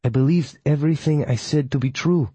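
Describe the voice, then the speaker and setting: A man's voice: fairly high pitch, a little fast, warm tone, slightly rough, dry recording, voice pushed up. A man voicing a proud female witch who leads a coven, speaking with a Polish accent in a formal, clipped, disdainful way. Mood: indignant.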